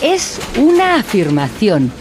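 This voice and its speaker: Earnest lady lecturer for a silly radio course, deadpan but very up and down, bit of noise behind. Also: mid-range voice, takes it slowly. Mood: deadpan, pedantic. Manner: didactic, deadpan, formal. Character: earnest female instructor of an absurd mock educational course. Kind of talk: scripted satirical radio drama narration.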